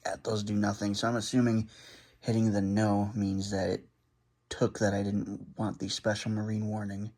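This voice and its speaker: Low-voiced man casually narrating a gadget setup. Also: flat delivery, moderate pace, a little mellow. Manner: thinking aloud, reading items off then commenting. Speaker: vlogger demonstrating a gadget.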